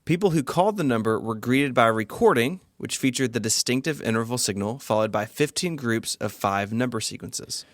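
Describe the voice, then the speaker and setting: Male podcast co-host, conversational narration, mid-pitched voice, dry close-miked sound. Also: lively intonation, brisk, few pauses, dry recording.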